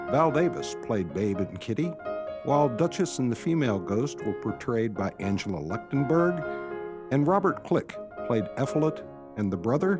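A man with a lowish, very animated voice narrates over music. He reads from a script as the announcer of a radio theatre programme.

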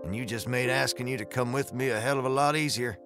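A man's voice: medium pitch, fast talker, fairly bright tone, faint music behind, close to an everyday voice. A man voicing a stern, blunt former Texas Ranger with a protective streak in a western audiobook. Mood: protective, tender. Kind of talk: western audiobook character acting.

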